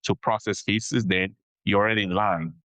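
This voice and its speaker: A man's voice: fairly low pitch, fairly even intonation, moderate pace, a bit bright, no room sound. A man being interviewed on a podcast as an immigration attorney, explaining things patiently, repeating his key advice and often checking in with "okay?".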